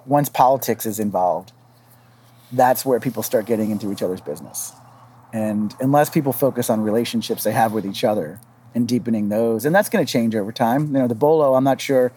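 A man speaking as a podcast panelist, thinking aloud haltingly in casual discussion. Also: medium pitch, darkish tone, slight background noise.

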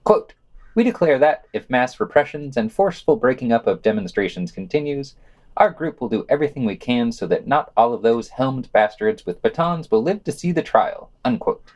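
Male podcast news reader, mid-pitched, lively varied delivery, scripted with brief asides. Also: moderate pace, dull audio.

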